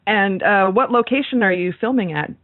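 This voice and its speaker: A woman's voice: medium pitch, moderate pace, no room sound. A woman co-hosting a podcast interview in casual, conversational talk. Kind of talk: casual chat. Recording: dry, thin phone-like sound.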